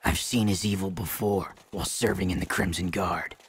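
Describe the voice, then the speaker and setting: An actor playing a gruff male rebel leader and former guard who speaks with dry sarcasm. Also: deep voice, steady tone, normal speed, exaggerated voice.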